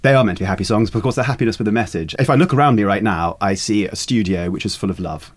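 A man's voice: fairly low pitch, rapid-fire. A man, a pop critic guest on a podcast, speaking in a wry, articulate and formal way. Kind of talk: podcast discussion.

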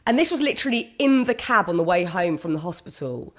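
A middle-aged woman talking candidly as an interview guest, earnest and a little husky, often restarting her sentences. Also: on the low side, lively intonation, quick pace. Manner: candid, earnest, with frequent restarts and quoted inner thoughts. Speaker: guest author and broadcaster. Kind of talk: conversational interview.

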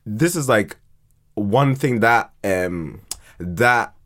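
A man's voice: on the low side, animated, very slow, fairly bright tone. A young man with a Ghanaian accent chatting casually in an interview, often repeating words and restarting as he searches for his answer. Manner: hesitant, stammering repeats and false starts. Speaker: podcast guest, writer and creator.

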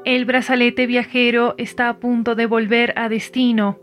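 A crisp, flat-toned machine voice of a time-travel device, voiced by a woman, announcing each status in a formal, even way. The voice sits a touch high. Soft music hums underneath. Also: normal speed, natural voice. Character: calm female time-travel system voice.

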